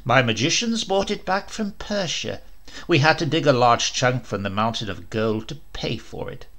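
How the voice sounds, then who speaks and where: A man's voice: medium pitch, expressive, moderate pace, fairly bright tone, pitched below the performer's own voice. A man doing a stern old scolding king. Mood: stern, lecturing. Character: stern noble old male king, mocking. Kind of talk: storybook reading.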